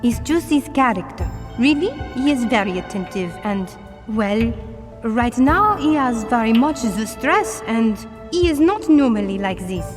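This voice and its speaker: French game heroine-in-waiting, earnest, slightly high, over game music. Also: female voice, lively intonation, unhurried, long reverb tail. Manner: earnest, loyal, slightly broken English. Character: cheerful young French woman, adventure fan. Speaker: game character.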